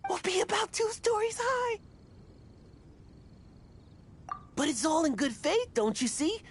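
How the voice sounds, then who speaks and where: A woman's voice: very high voice, very animated, normal speed, slight background noise. A woman voicing an earnest fan club leader in an anime video game, gushing and self-important, easily flustered. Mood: fervent, defensive. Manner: fervent, hesitant, self-important.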